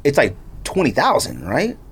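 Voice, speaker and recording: Animated, slangy male podcast host. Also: mid-range voice, normal speed, creaky, slight background noise. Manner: slangy, animated storytelling with acted-out quotes. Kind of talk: casual chat. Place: indoor podcast studio.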